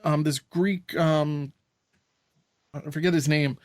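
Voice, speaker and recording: A man's voice: a little high, fairly even intonation, takes it slowly, dry recording, clean studio sound. A man co-hosting a podcast, giving blunt opinions in a casual, easygoing way. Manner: blunt, opinionated, laughs easily. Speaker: podcast co-host. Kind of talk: casual chat.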